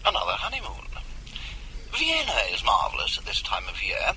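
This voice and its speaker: An actor playing a discreet, suave government intelligence official, clipped and understated, in a radio drama. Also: male voice, on the low side, wildly swooping pitch, normal speed. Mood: suave persuasion. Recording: noisy radio drama telephone line.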